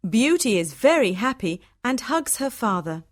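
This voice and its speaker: A woman storyteller reading a scripted fairytale for English learners in measured, short, simple phrases. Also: on the high side, big pitch swings, no room sound, studio quality.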